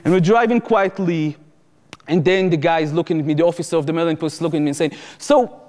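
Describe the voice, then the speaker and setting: Echoey hall, high-pitched male voice, Israeli accent, emphatic public speaker, dramatic pauses, storytelling lecture. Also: steady tone, darkish tone. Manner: emphatic, repeats phrases for effect, dramatic pauses. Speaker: activist lecturer and former soldier. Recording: echoey hall with long reverb.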